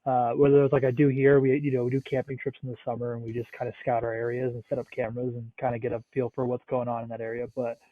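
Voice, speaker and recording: A man's voice: medium pitch, little pitch movement, quick pace, hardly any pauses, dry recording. Man, about thirty, from the northeastern US, casual podcast guest, rambling filler-heavy talk, tinny phone-like audio. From Northeastern United States. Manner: rambling, many "like" fillers, swears freely. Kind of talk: casual interview chat. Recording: tinny phone-like remote line, dry.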